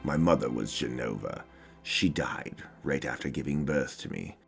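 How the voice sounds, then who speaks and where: An actor playing a cold, haughty legendary warrior in a game parody, speaking slowly and with disdain. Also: male voice, rumbling low, expressive, gravelly, soft background music, full character voice. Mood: cold, brooding. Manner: slow, deliberate, disdainful.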